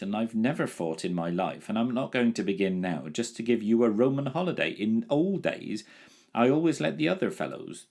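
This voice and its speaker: A man's voice: lowish voice, vocal fry, a little mellow, pitched above the performer's own voice, full character voice. A man voicing a timid, lazy male dragon in a storybook reading, with a drawling, plaintive manner.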